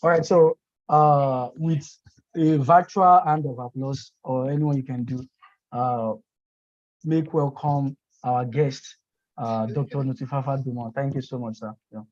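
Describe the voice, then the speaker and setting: A man's voice: mid-range voice, steady tone, unhurried, a little mellow, lo-fi sound. A man hosting an online meeting over a video call, introducing a guest with apologies and fillers. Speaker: host.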